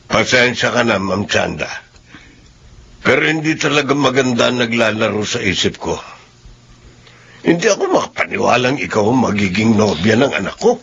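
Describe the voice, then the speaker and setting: Casting: male actor for older working-class dad, disapproving and worried, rough creaky voice, muffled drama recording. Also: medium pitch, animated, moderate pace, fairly bright tone, a little hiss or hum. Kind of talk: radio drama acting.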